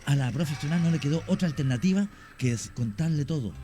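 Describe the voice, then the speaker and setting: A man, a radio guest telling an old ghost legend in a measured way with some "eh" fillers. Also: fairly high pitch, lively intonation, dark tone, faint music behind. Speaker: guest storyteller.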